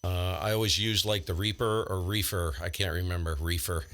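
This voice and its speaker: A man's voice: low voice, fairly even intonation, normal speed, fairly bright tone. A man chatting casually as an amateur radio operator, explaining things in an informal, rambling way. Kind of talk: casual ham radio chat.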